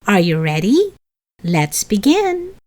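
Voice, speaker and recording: A woman narrating a children's read-aloud story in a deliberate, expressive storytelling manner for young listeners. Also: mid-range voice.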